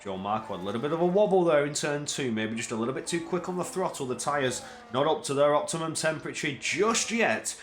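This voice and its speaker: A man doing solo live-stream race commentary, energetic play-by-play that climbs in pitch at tense moments, with chatty asides in quiet spells. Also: brisk, few pauses, echoey room, slight background noise.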